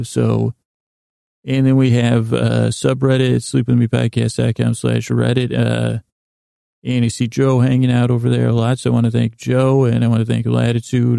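A middle-aged man with a gravelly, creaky voice, hosting a bedtime sleep podcast in a meandering, halting monologue that trails off into tangents. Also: mid-range voice, dark tone, no room sound. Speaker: podcast host.

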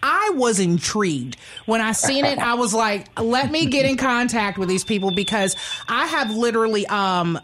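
A woman's voice: on the high side, lively intonation, normal speed, fairly bright tone, slight background noise. A woman hosting a radio magazine show and announcing events in a chatty, informal way from a studio.

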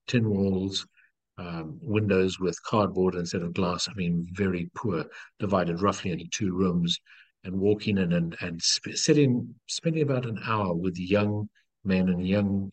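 A middle-aged man from South Africa with a low, husky voice speaks as a life coach in conversation. He talks in an earnest, encouraging way and leans on repeated phrases. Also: poor audio. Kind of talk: reflective storytelling in conversation.

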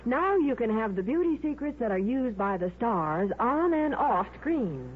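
A woman's voice: fairly high pitch, sing-song, a little slow, a little hiss or hum. A woman reading a scripted 1950s beauty advertisement on radio, with an alluring, emphatic sales delivery. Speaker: radio commercial announcer. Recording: tinny old radio broadcast.

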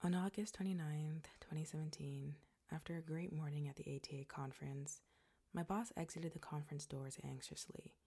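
A woman narrating a personal story in a soft, breathy, measured way. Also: low voice, fairly even intonation.

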